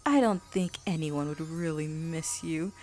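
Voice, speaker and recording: A woman voicing a sinister dark female pony spirit, a gloating villain who talks in a slow, taunting, hissing whisper. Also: deep voice.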